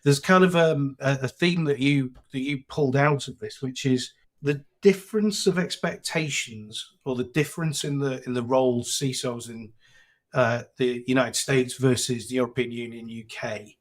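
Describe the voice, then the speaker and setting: A middle-aged man hosting a podcast interview, talking casually and haltingly, often repeating words. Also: mid-range voice. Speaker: podcast host.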